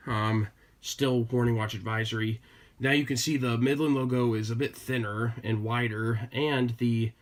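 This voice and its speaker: A man's voice: medium pitch, fairly bright tone. A man with an American accent casually presenting items from his hobby collection, as in a home product show-and-tell.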